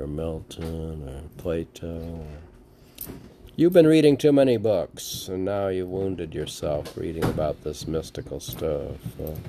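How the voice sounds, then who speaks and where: A man speaks at an unhurried pace in a low, fry-laden voice. He rambles casually between reading verse and commenting on it, posing questions and answering them himself. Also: mellow, slight background noise. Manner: musing, asks and answers his own questions. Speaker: poetry reader and commentator.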